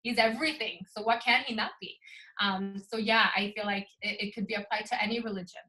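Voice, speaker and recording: A woman's voice: mid-range voice, fairly even intonation, fast, pushed and bright, poor audio. A young woman from Sweden, a photographer, talking earnestly and eagerly as an interview guest, with frequent ums. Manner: earnest, enthusiastic, many ums. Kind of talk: casual interview talk.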